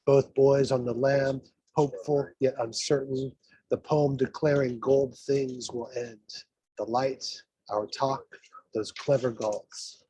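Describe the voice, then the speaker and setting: A man's voice: medium pitch, fairly even intonation, takes it slowly, dark tone, breathy edge, no room sound, rough recording. A man reading his poetry aloud at an event, with informal asides, as a guest poet.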